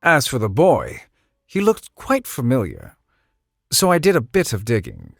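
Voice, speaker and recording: Game villain's accomplice: shrewd, sardonic, mid-pitched, very animated intonation, music behind. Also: male voice, vocal fry, no room sound, clean studio sound, exaggerated voice. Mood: sly, calculating. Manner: sardonic, articulate, measured. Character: dry, shrewd male adviser and scheming accomplice. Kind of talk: video game villain acting. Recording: background music under the voice.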